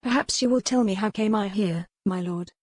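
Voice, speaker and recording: A woman's voice: medium pitch, moderate pace, darkish tone, dry recording, studio quality, lightly acted. A woman voicing a proud, resolute young noblewoman in a romance audiobook, impassioned, with formal old-fashioned phrasing. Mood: composed. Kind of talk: dramatized romance audiobook dialogue.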